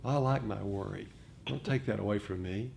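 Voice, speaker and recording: A man giving an informal dharma talk to a group as a meditation teacher, speaking in a halting, reflective way and often rephrasing. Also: on the low side, gravelly, a little mellow. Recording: noticeable background noise.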